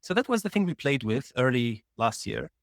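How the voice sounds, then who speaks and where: A man from Tunisia, a startup founder, telling his career story as a guest in a casual interview, rambling quickly with restarts. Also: mid-range voice, very animated, creaky, dry recording, clean studio sound.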